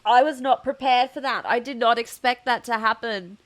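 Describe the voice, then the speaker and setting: A woman's voice: fairly high pitch, moderate pace. A tearful, emotional woman streamer chatting casually as she reacts to a game. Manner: emotional, giggly, exclamatory. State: tearful from crying. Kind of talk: live playthrough reaction, casual chat.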